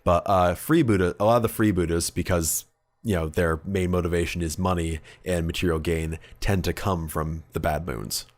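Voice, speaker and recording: A man's voice: low voice, steady tone, normal speed. A man co-hosting a podcast, chatting casually and enthusiastically in a geeky, conversational way. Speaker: podcast co-host. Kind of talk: casual hobby podcast chat.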